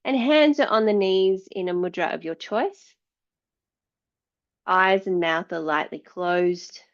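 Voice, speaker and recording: A woman yoga teacher guiding meditation and breathing in a measured, soothing way, leaving long pauses between phrases. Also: medium pitch. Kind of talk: guided yoga and meditation instruction. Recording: dull, slightly lo-fi sound.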